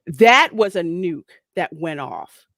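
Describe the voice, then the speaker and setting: A woman's voice: fairly low pitch, big pitch swings, slow, a bit bright, no room sound, studio quality. A woman speaking as a panelist in a casual chat, conversational and outspoken.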